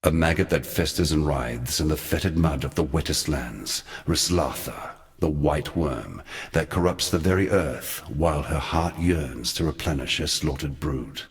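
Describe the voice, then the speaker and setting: A man playing an ancient, sardonic god in a video game, telling dark lore in a measured, theatrical and eloquent way with a weighty voice. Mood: grave, sardonic. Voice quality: very deep, weighty voice. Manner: measured, theatrical, wry storytelling. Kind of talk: scripted video game character monologue.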